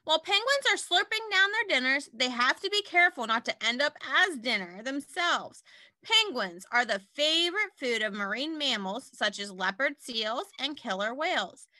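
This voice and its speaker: Animated, high-pitched woman reading to kids. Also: moderate pace, no room sound. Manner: animated, simple explaining, addressing young listeners. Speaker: teacher reading a picture book aloud to young children. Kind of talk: children's read-aloud with explanatory asides.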